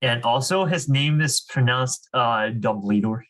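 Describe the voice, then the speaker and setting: A man's voice: medium pitch, a little slow, a bit bright. Informal male online reading club leader. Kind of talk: casual online teaching. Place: online group video call.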